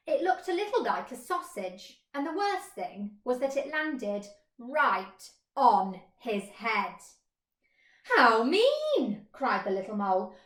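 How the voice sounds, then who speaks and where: A woman teacher reading a children's story expressively in a roomy space. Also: fairly high pitch.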